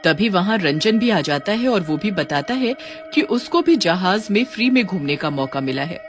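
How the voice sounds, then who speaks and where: A woman's voice: mid-range voice, sing-song, moderate pace, faint music behind. A woman narrating a scripted cartoon story.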